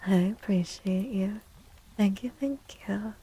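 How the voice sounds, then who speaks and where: Slow, breathy, soft-spoken woman. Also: mid-range voice, poor audio. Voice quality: soft, breathy, often dropping into whisper. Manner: unhurried, gentle, pausing between short phrases. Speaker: livestream host. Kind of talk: soft-spoken ASMR livestream chat.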